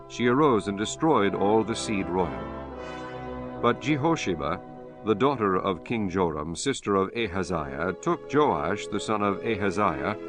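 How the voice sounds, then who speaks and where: A man with a fairly low voice narrates scripture for an audiobook. His delivery is solemn, formal and measured, with faint music underneath.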